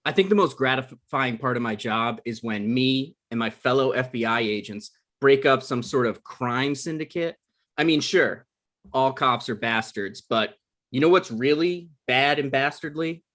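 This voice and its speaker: A man's voice: mid-range voice, moderate pace, a bit bright, natural voice. A man reading the part of an awkward, evasive man in his mid-thirties bluffing through a date in a comedy table read. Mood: earnest bluffing.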